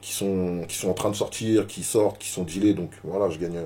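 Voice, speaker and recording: A man's voice: deep voice, steady tone, brisk, dark tone. A rapper being interviewed, talking casually and often repeating words while he searches for them. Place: media office.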